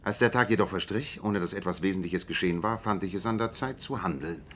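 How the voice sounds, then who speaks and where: A man playing a keen, analytical gentleman detective in a German radio play, speaking in a precise, articulate, lecturing manner. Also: low voice, fast. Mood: assured, explanatory.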